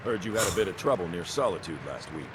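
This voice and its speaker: A seasoned game warrior with a lowish voice. He is measured and knowing, like a coach, heard quiet and distant through clear noise. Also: expressive. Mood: calm, knowing. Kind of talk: video game background dialogue.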